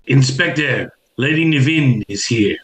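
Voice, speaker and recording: An actor playing a deferential, curious police subordinate in an audio play, speaking in an attentive, questioning way. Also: male voice, medium pitch, expressive, measured pace, background noise, close to an everyday voice. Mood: puzzled, curious.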